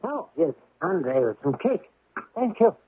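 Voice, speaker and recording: An actor playing an impatient, authoritative examining magistrate in a 1970s radio drama, questioning briskly and peremptorily. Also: male voice, on the high side, sing-song, breathy edge, full character voice. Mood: testy. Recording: tinny vintage radio broadcast.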